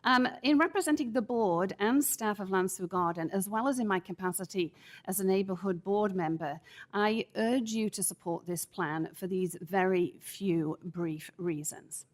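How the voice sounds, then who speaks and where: A middle-aged woman stands at a podium microphone in a council chamber and gives formal public testimony. Her big, projecting voice comes out measured and deliberate, with a firm, emphatic push on her key points. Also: medium pitch. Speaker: public commenter at city council.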